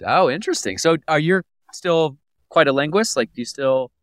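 A man's voice: a little high, animated, normal speed, fairly bright tone, no room sound, studio quality. A man hosting an interview podcast, chatty and friendly, with teasing asides. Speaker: podcast host. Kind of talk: casual interview conversation.

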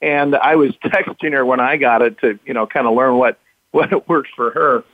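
Raspy man on a tinny line. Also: medium pitch, moderate pace, no echo. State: recovering from illness, slightly hoarse. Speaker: interview guest, author. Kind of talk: remote interview. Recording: tinny phone-line audio, dry.

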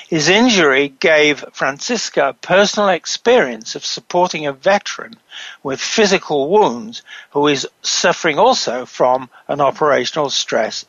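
Measured man hosting a talk show. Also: a little high, a bit bright, dry recording. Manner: measured, reading from a script. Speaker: host. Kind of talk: scripted talk show introduction. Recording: muffled, dull, dry sound.

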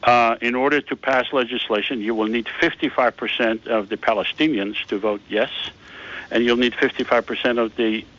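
A man being interviewed on a radio talk show, patiently explaining his plan in halting speech full of "uh"s. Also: mid-range voice, fairly even intonation, a bit bright. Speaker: guest, founder of a peace initiative. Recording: slightly dull lo-fi radio sound.

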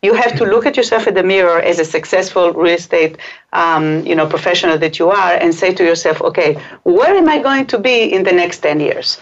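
A middle-aged woman with an Israeli accent, a native Hebrew speaker raised in Israel after a birth in Chile. She is a guest on a talk show and speaks in a direct, animated way. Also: lowish voice, fast talker, lo-fi sound. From born in Chile, raised in Israel. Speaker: real estate agent, guest. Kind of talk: casual interview talk.

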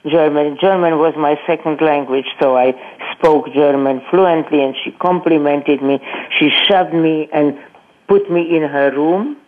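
An older woman giving her personal testimony in an interview, speaking halting, non-native English with frequent restarts and repeated words. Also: deep voice. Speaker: Holocaust survivor guest. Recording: tinny phone line.

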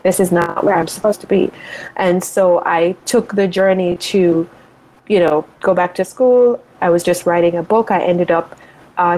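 A woman's voice: on the low side, dark tone, poor audio. A woman guest, a life and money coach, talking in a reflective, motivational way and thinking aloud as she goes. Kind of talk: reflective interview talk.

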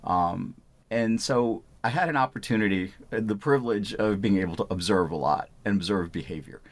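Man, very low pitch, from India, physician, explanatory interview answers. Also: normal speed. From India, Punjab. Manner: explanatory, repeats "I want" phrases.

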